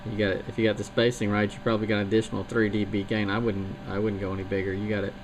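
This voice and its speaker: A man's voice: fairly low pitch, flat delivery, rapid-fire, background noise. A man who operates a CB radio, chatting in a folksy, rambling way. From North Carolina. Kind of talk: casual radio chat.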